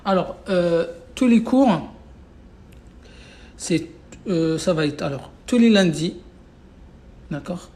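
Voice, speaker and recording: A man's voice: high voice, expressive, takes it slowly, pauses often, dark tone. A man whose first language is Arabic, teaching a live online class in a casual, insistent way, repeating himself with many fillers and restarts. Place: away from home, no whiteboard.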